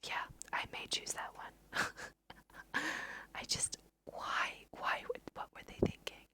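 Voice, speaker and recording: A woman whispering chatty ASMR game commentary, thinking aloud in a breathy, self-amused way into a close microphone. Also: a little slow. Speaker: host of a gaming let's play.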